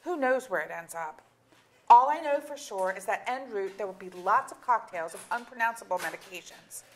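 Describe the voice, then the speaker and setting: A woman's voice: medium pitch, lively intonation, moderate pace, a little hiss or hum, close to an everyday voice, low-quality recording. An actress playing a blunt, exasperated middle-aged wife and mother in a staged reading of a comic drama, speaking in emphatic, sardonic phrases. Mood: weary, sardonic. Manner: sardonic, emphatic, long articulate tirades. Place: theatre auditorium.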